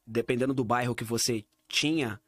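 A man from Brazil with a Brazilian Portuguese accent, in a relaxed talk, often stumbling and repeating words as he searches for what to say. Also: medium pitch, moderate pace, breathy edge, dry recording. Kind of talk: casual conversation.

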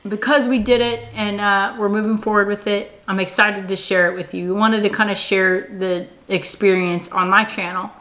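A woman in her thirties talks casually on her own video channel, chatty and quick to laugh. She often slips into quoting what other people told her. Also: mid-range voice, flat delivery, a bit bright, poor audio. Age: 30s. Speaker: channel host. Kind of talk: casual couple vlog chat.

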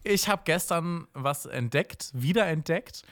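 A man co-hosting a casual podcast chat, telling stories in an animated, eager way. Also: fairly high pitch, measured pace, no room sound. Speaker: podcast co-host.

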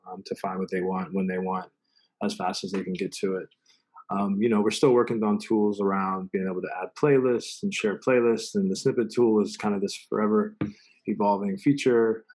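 A man's voice: sits low, a little mellow, husky, rough recording. A man speaking as a podcast guest, answering in a casual, conversational style. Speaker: podcast guest, design lead.

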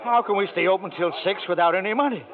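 A man's voice: high-pitched, rapid-fire. An actor playing an agitated, blunt small-town man caught in a bank panic in a 1940s American radio drama. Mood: dismayed. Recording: muffled old radio broadcast.

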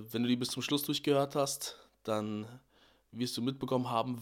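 Hoarse young man with a cold. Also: mid-range voice. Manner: relaxed, explanatory, with fillers and restarts. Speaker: podcast host. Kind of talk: devotional podcast talk on a Bible verse.

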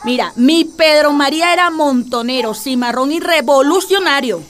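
An exasperated Venezuelan mother with a high voice, fretting and scolding with affection. She speaks in a radio drama style, over faint background noise. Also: normal speed, a bit bright. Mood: worried, pleading.

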